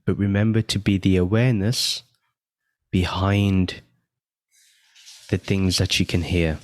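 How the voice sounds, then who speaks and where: A man leading a guided meditation, giving gentle step-by-step instructions with long pauses between phrases. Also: sits low, steady tone, dry recording. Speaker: meditation guide and podcast host.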